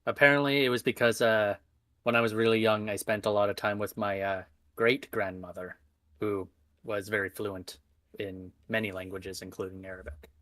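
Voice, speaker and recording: A man's voice: fairly low pitch, little pitch movement, moderate pace, fairly bright tone, dry recording. A man chatting casually as a guest, with dry, matter-of-fact humor. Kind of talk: casual chat.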